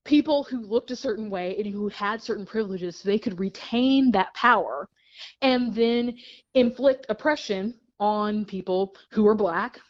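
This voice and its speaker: A woman's voice: mid-range voice, a little slow. Woman talking in a dull, lo-fi recording. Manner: many restarts and repeated words, laughs between points. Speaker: interview guest. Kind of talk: explaining history in casual conversation.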